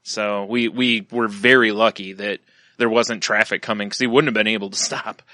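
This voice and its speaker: This man's voice is bright and forceful, with a dry sound and no room echo. He tells a casual story in an easygoing way while co-hosting a podcast. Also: on the low side, fairly even intonation, moderate pace. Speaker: podcast co-host. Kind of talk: casual chat.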